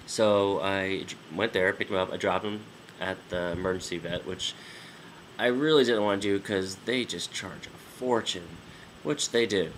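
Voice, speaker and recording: A man's voice: deep voice, moderate pace, slight background noise, rough recording. A man in his twenties, nasal from a sinus infection, giving a rambling casual vlog update full of ums and restarts. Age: 20s. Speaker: vlogger.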